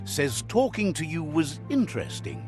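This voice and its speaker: An actor playing a wry, fond storybook narrator in a game, with a measured storyteller's delivery. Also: male voice, fairly high pitch, wildly swooping pitch, over music. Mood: wry, friendly. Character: male storybook narrator relaying creatures' words, wry and fond.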